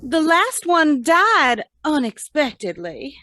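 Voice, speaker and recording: Actress as gushing coquettish old flame, high voice, slow drawn-out cooing, radio comedy. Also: female voice, very animated, sharp tone, no echo, exaggerated voice. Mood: coy.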